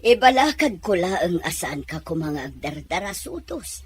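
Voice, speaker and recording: A woman's voice: fairly low pitch, very animated, moderate pace. A woman acting a middle-aged Ilocano mother, worried and meddling, in an audio drama. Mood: worried, scolding. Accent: Filipino, Ilocano.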